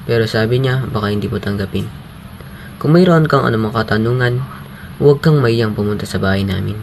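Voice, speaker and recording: A man's voice: lowish voice, fairly quick, creaky, a little mellow, a little hiss or hum. A man playing a kindly, helpful neighbour in an amateur radio drama. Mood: kindly, solemn.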